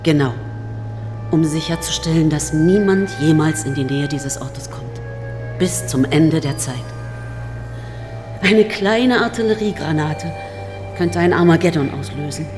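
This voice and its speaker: Stern, low-pitched Soviet woman scientist. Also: unhurried, long pauses. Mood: grave, stern. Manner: cold, commanding. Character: stern, commanding Soviet woman scientist guarding a state secret. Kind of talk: German radio drama acting. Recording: reverberant hall, music underneath.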